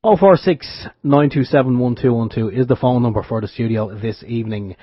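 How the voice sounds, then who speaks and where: A man's voice: mid-range voice, brisk, husky, dry recording. A man co-hosting an internet radio show, talking casually as he gives out announcements. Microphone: close studio microphone. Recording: dull lo-fi internet radio stream.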